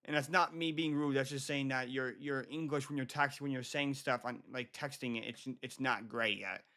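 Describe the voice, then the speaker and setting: An American man hosts a casual livestream in a bright, forward voice at a middling pitch. He speaks haltingly, circling back and repeating his phrases as he addresses his viewers. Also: fairly even intonation. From Michigan, United States. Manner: halting, repeats himself often. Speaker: livestream host.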